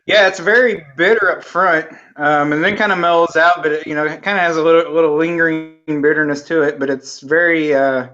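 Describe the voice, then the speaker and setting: A man of forty talks about his hobby on a video call in an easygoing, halting way, often stopping on fillers. His voice is a bit high for a man, and the audio is dull. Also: steady tone, pushed and bright. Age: 40s. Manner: hesitant, full of fillers, easygoing. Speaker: guest. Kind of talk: casual video-call chat.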